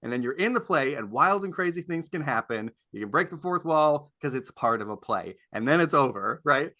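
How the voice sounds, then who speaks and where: A man in casual conversation as a panelist, speaking haltingly as he circles toward his point. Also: a little high, lively intonation, sharp tone. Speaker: podcast panelist. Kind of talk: casual chat.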